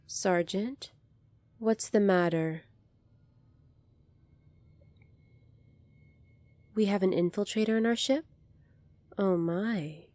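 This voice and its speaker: A woman playing a poised, kindly young alien princess in an ASMR roleplay, polite, formal and measured, soft-spoken with breathy moments. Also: mid-range voice, no echo, close to an everyday voice. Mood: curious, courteous.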